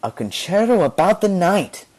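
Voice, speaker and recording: A man's voice: high voice, very animated, normal speed, darkish tone, pitched above the performer's own voice, rough recording. A man voicing a dreamy, imaginative young orphan girl in a storybook, full of delighted wonder.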